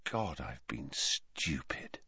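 A man voicing an excitable, headstrong teenage wizard boy in a storybook, eager and insistent. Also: a little high, a little slow, dry recording, dull audio, pitched above the performer's own voice, exaggerated voice. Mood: quiet realization.

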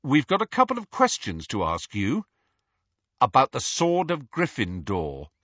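Man acting a blunt, forceful teenage boy. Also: mid-range voice, huge pitch swings, a little slow, no echo, lo-fi sound, pitched above the performer's own voice, exaggerated voice. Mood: guarded, firm. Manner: direct, insistent. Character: blunt, urgent teenage boy, loyal and impatient.

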